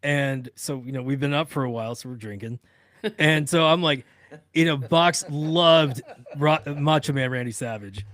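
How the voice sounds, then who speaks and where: A man talking as a radio-industry guest, telling casual anecdotes in an animated, unguarded, salty-tongued way. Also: medium pitch, normal speed. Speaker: radio broadcaster, podcast guest.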